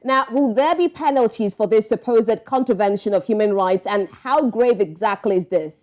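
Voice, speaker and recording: A woman's voice: a little high, normal speed, a little mellow. A woman with a Nigerian accent presenting news and interviewing guests in a formal broadcast style. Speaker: news anchor and interview host.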